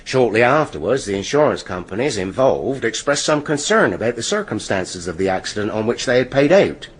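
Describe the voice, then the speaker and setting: An actor playing a dutiful British police inspector with a husky voice, giving formal, precise evidence in a radio courtroom drama. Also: male voice, mid-range voice, big pitch swings, a little fast. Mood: dutiful, factual.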